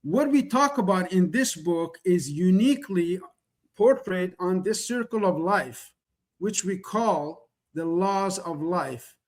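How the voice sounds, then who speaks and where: High-voiced Middle Eastern-born man presenting. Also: expressive, slow pace. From Middle East. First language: Kurdish or Persian. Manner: earnest, repetitive, rhetorical questions. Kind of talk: online presentation, motivational pitch.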